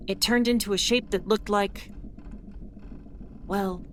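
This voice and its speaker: A woman narrating as a starship captain in an audio drama, with measured, introspective storytelling. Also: mid-range voice, fairly even intonation. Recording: noisy audio drama recording.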